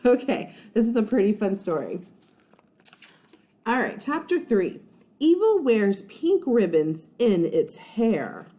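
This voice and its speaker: Mellow, animated woman reading to children. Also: mid-range voice, slow. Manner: animated, explains and checks in with young listeners. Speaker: first-grade teacher reading aloud. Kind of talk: expressive children's storybook read-aloud with asides.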